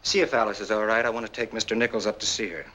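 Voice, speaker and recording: An actor in a 1940s film noir playing a self-assured war-veteran husband who explains things in a measured, polite, controlled way. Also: male voice, fairly low pitch, steady tone, sharp tone, poor audio. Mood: coolly confident. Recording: old 1940s film soundtrack.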